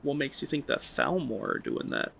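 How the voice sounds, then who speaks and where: A man's voice: medium pitch, expressive, moderate pace, gravelly, a bit bright, full character voice. A man playing a terse adventurer hero in video game dialogue, asking short, plain questions. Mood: questioning. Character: terse male adventurer, the game's player hero.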